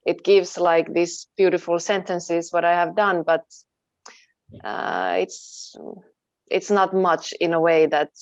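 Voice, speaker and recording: A woman from Finland, a native Finnish speaker, being interviewed about her work and talking thoughtfully, pausing and searching for words. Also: lowish voice, steady tone, no room sound, highs cut off. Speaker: interview guest, photojournalist. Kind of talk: online interview.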